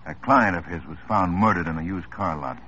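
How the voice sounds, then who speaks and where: An actor playing a firm police lieutenant in a 1950s radio drama. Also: male voice, deep voice, fairly quick, bright, forceful tone. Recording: muffled old radio recording with hiss.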